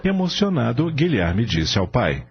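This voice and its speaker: A man narrating a radio drama from a script, telling the story in a dramatic, expressive way. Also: mid-range voice, a little slow, creaky, slightly rough. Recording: dull lo-fi audio, music behind.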